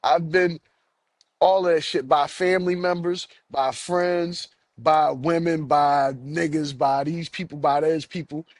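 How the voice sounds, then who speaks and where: A man hosts his own podcast, ranting and cursing in an angry stream. His voice sits high for a man, and he stumbles over repeated words as he pushes his point. The sound is close and dry, with no room around it. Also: fairly even intonation, takes it slowly, no echo. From United States. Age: adult, has children. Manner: angry, profane, repeats himself. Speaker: podcast host. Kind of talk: solo podcast rant.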